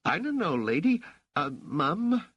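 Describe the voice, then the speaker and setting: A man acts out a cheeky boy of about twelve from the streets. The voice sits well above an adult male pitch and jumps about freely. The talk is brash, slangy and ungrammatical, as in a storybook reading. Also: very animated, slow pace, fairly bright tone, breathy edge, dull audio, full character voice. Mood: bashful. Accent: fictional working-class street dialect.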